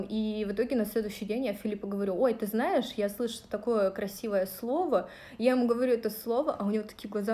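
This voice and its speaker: A woman's voice: fairly high pitch, warm tone. Chatty Russian woman on a phone livestream. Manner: chatty and direct, with many fillers. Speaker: vlogger answering viewer questions. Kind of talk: casual livestream talk. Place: small room indoors. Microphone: phone held at arm's length.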